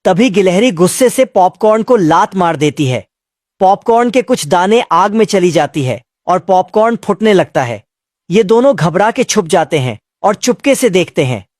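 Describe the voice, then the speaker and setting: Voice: medium pitch, animated, normal speed, darkish tone, dry recording, studio quality. A narrator telling a comic cartoon recap from a script in colloquial, slangy storytelling.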